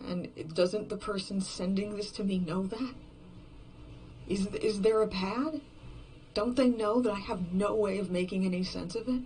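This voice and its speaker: A performer voicing a despairing, obsessive lone survivor in a horror fiction podcast, brooding and halting in a confessional monologue, often dropping toward a whisper. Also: medium pitch, steady tone, a little mellow, a little hiss or hum, close to an everyday voice, poor audio. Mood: anxious brooding.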